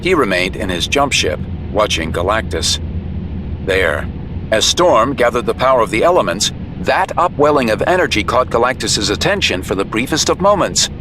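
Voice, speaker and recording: A man's voice: lowish voice, animated, moderate pace, noisy background. A man delivering dramatic scripted narration of a superhero comic story. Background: prominent music and effects.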